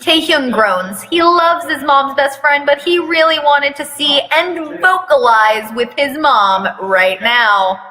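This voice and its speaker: A woman doing a dramatic reading of fan fiction, with sarcastic, deadpan asides. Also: high-pitched, takes it slowly, hardly any pauses. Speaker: reader performing a fan fiction aloud. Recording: long hall-like reverb.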